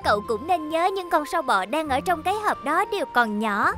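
A woman voicing a gentle, caring young female ambulance robot in a cartoon dub. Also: high-pitched, very animated, a little fast. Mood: reassuring. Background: music.

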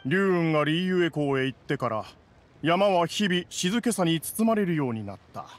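Guy voicing a proper reproving immortal, old-fashioned formal talk, slightly high male voice, anime game, faint music. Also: lively intonation, close to an everyday voice. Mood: resigned. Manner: formal, archaic, measured, reproving. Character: dignified, grumbling immortal mountain adeptus in man's guise.

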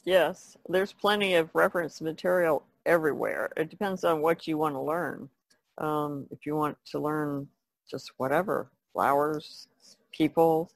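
Gravelly, creaky-voiced woman chatting casually. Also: sits low, fairly even intonation, unhurried, dry recording. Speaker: podcast co-host. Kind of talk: casual chat.

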